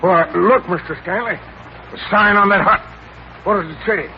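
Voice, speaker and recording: An actor playing a naive, wide-eyed expedition sidekick in 1930s radio comedy, folksy and exclamatory, on a vintage recording. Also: male voice, sits high, moderate pace, lo-fi sound. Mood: curious. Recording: vintage 1930s radio, band-limited, faint noise.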